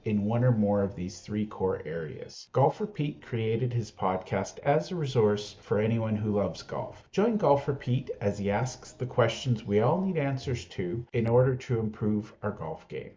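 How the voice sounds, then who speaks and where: A man reading a scripted podcast introduction in a smooth, even announcer manner. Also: on the low side, a little slow, few pauses, highs cut off. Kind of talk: scripted promo narration.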